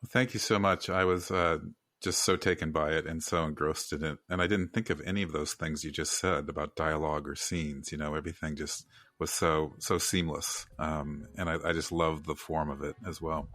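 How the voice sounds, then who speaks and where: A man co-hosting a podcast, chatting in an animated, emphatic, conversational way. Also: very deep voice, normal speed, dry recording. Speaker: podcast co-host. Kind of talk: casual podcast chat.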